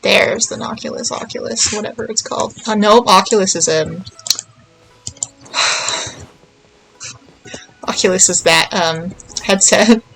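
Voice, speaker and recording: Woman streamer chatting to herself while gaming, really slow pace, playful, casual, medium pitch. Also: fairly even intonation, creaky, a bit bright, husky, soft background music, rough recording. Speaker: video game streamer. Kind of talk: casual livestream gameplay commentary, thinking aloud.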